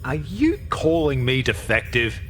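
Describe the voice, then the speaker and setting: An actor playing a brash, roguish spaceship captain in a video game, speaking in a cheeky, informal way. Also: male voice, mid-range voice, wildly swooping pitch, measured pace, a bit bright, soft background music. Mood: taken aback. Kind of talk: scripted video game character dialogue.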